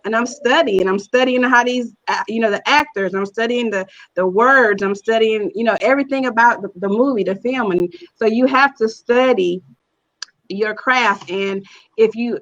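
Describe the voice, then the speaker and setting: A woman's voice: fairly high pitch, moderate pace, a bit bright, muffled recording. A woman guest on a panel, giving earnest conversational advice and repeating phrases for emphasis. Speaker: guest, stage play writer-director.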